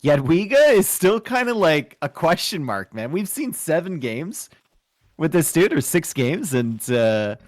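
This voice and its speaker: A man's voice: fairly high pitch, big pitch swings, unhurried, no room sound, studio quality. Canadian man casting, joking around. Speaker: game caster. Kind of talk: casual co-casting play-by-play.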